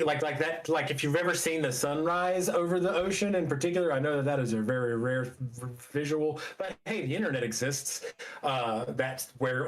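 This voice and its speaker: A man working as a game master, improvising descriptive narration in a halting, conversational way. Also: medium pitch.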